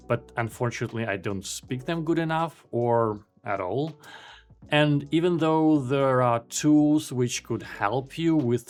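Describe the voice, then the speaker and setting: Ukrainian man explaining software calmly. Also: medium pitch. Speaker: software tutorial presenter. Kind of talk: screen-recorded software tutorial.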